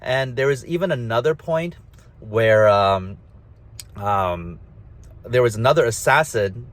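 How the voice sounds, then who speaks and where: A man's voice: medium pitch, animated, takes it slowly. A man hosting a solo talk, rambling casually with a nasal, slightly mumbly voice, lots of fillers and emphatic asides. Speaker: host.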